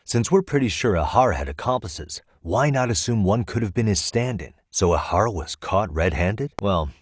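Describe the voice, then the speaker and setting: An actor playing a sharp, wry private detective in a video game, with an American accent and a casual, persuasive delivery. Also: male voice, low voice, no room sound, clean studio sound. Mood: analytical, focused. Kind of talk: English video game dub acting.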